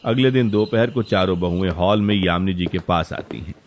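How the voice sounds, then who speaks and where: A man's voice: low-pitched, normal speed. A man narrating a scripted story as its storyteller. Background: faint music.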